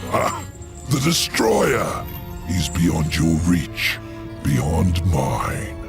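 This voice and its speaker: An actor playing a huge imprisoned demon lord, proud and scheming, in a theatrical, gravelly voice with sudden shifts from loud to hushed. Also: male voice, deep voice, wildly swooping pitch, very slow, over music, heavily acted. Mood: scornful. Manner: theatrical, sweeping swings between loud and hushed. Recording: game score under the voice.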